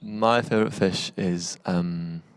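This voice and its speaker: Low, smooth-voiced northeastern man. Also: takes it slowly, no echo. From northeast England. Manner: joking, relaxed, playful. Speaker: band frontman. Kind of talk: casual band chat. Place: outdoors.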